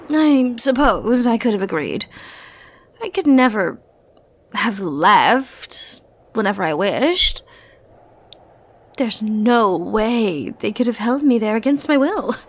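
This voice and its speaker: A woman playing an ancient, immortal giant being in an ASMR roleplay, flirtatious, condescending and dangerous, teasing with breathy whispers. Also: on the high side, exaggerated voice.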